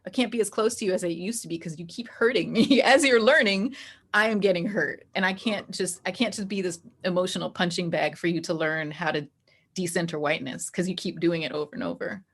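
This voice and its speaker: Woman, slightly low voice, candid interview guest. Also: fairly quick.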